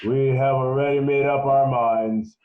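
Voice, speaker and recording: A man's voice: mid-range voice, steady tone, measured pace, echoey room, phone-quality sound, close to an everyday voice. A man playing a curt crooked jury foreman. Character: gruff mustached jury foreman, crook in disguise.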